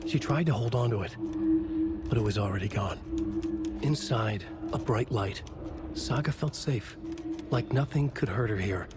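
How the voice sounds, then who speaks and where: A man playing a brooding novelist in a video game, narrating dark prose in grave, deliberate phrases. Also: medium pitch, lightly acted.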